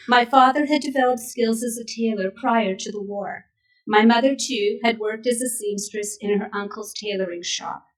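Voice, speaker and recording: A woman in her sixties, raised in Canada and a native Yiddish speaker, reads a prepared speech in a measured, steady way. Her voice sits a little high for a woman. The mic catches her from some distance. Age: 60s. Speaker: speaker at a memorial event. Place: synagogue event hall. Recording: voice picked up from a distance.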